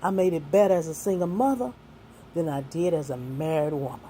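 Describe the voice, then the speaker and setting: A woman in her sixties shares hard-won advice with young people in a folksy, heartfelt way, leaning on faith and plain talk. Her voice sits low and mellow. A faint hiss of noise runs under the recording. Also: brisk. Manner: earnest and folksy, mixing faith and street talk. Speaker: speaker giving life advice.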